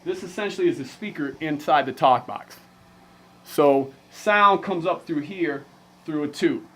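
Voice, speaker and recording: A man's voice: a little high, unhurried, pushed and bright, slight background noise, poor audio. An American man giving an informal gear demonstration as a musician vlogger, with a slangy, laid-back way of talking. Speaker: musician presenting a band's vlog.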